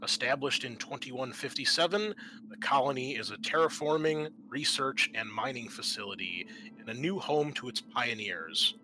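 A man's voice: mid-range voice, normal speed, background noise. A man hosting a roleplaying podcast as game master, moving between casual chat and scene-setting narration. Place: online group call.

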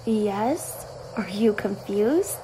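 A woman's voice: medium pitch, expressive, extremely slow, dark tone, slight background noise, poor audio. A woman playing a bitter, fed-up, sarcastic wife with a cutting manner in a radio play. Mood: scornful. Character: bitter, sardonic, fed-up wife of rich spouse.

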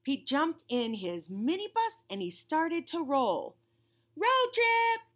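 A woman with a high-pitched voice reads to young children. She tells it expressively and deliberately, like a classroom storyteller, stretching phrases out. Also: big pitch swings. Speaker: children's storybook reader, teacher. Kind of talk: read-aloud for young children. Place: classroom, indoors.

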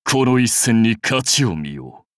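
Proud, sweeping-voiced samurai warlord. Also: male voice, mid-range voice, very animated, a little slow, no room sound, studio quality. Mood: battle-ready, commanding. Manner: grand, archaic, declamatory warrior speech. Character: proud, battle-hungry samurai warlord, commanding and loyal. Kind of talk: anime game voice lines.

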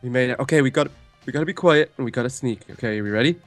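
A man's voice: mid-range voice, rapid-fire, no room sound, faint music behind. A man giving playful improvised roleplay commentary in a gaming video, narrating the action and repeating short commands.

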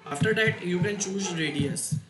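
A man giving a step-by-step software tutorial in short, halting phrases with non-native phrasing. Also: high-pitched. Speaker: tutorial instructor. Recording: roomy echo, loud noise, clicks.

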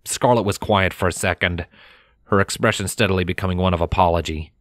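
A man reading scripted fiction as a narrator, measured and expressive in his storytelling. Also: deep voice, no room sound, clean studio sound. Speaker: narrator of a fan fiction audiobook. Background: music.

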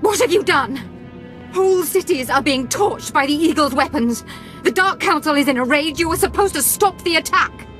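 A vengeful Sith councillor with a high voice. She is volatile and theatrical, in video game drama with faint music behind her. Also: animated, normal speed, a bit bright. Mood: outraged.

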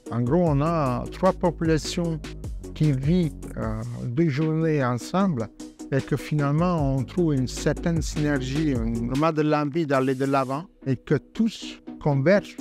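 A man's voice: on the high side, measured pace. Hesitant man over music. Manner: hesitant, repeats words. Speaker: company staff member. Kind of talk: interview.

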